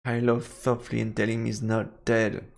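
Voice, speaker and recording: A man's voice: fairly low pitch, monotone, measured pace, vocal fry. A man doing a let's play, reading game narration aloud and reacting casually as he goes.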